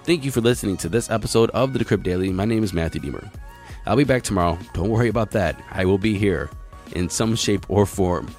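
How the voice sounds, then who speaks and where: An American man hosting a news podcast, with a slightly raspy voice lowered by illness, reading stories and adding chatty, wry asides on a close, compressed mic. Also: fast talker, a little mellow. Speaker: podcast host. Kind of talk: news reading with casual commentary. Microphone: close podcast mic, compressed and equalized. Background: music.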